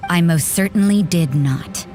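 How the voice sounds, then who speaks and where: A woman's voice: on the low side, natural voice. A woman playing a stern, suspicious young knight in an anime video game, haughty and formal. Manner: formal, admonishing, deliberate phrasing. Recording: game music underneath.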